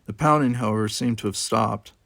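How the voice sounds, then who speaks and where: A man's voice: fairly low pitch, a little fast, gravelly, a bit bright, no room sound, clean studio sound. A man reading an adventure novel aloud as dramatic, scripted narration. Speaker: audiobook reader.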